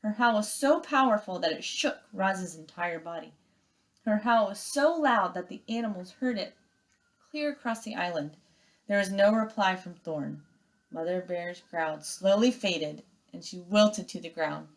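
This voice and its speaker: A woman teacher reading aloud and giving step-by-step instructions to young pupils in an expressive, emphatic way. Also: medium pitch, unhurried, rough recording.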